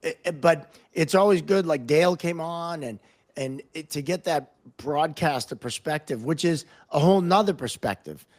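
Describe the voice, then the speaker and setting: An American man hosting a casual podcast interview, talking in a halting way and often repeating words. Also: fairly high pitch. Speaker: podcast host, hockey referee.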